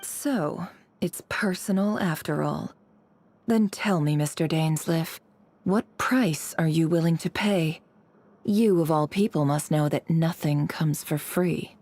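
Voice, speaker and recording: An actress playing a shrewd, cool information broker in a game, sly and deliberate. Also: female voice, deep voice, a little mellow, heavily acted. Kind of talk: game voice acting. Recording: game music under the voice.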